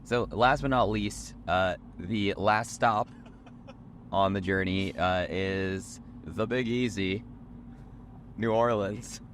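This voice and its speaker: Hoarse, deep-voiced young man chatting in a car. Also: slow pace, a bit bright. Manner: rambling, self-correcting, chatty. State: hoarse, short on sleep. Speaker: podcast co-host. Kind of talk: casual chat on a road trip. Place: moving car. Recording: road noise from a moving car.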